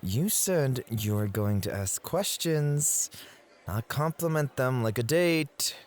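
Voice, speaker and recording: A man's voice: mid-range voice, animated, slow. A man acting in an ASMR roleplay as a possessive, doting club host with an affectionate, teasing way of talking. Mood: wry, petty.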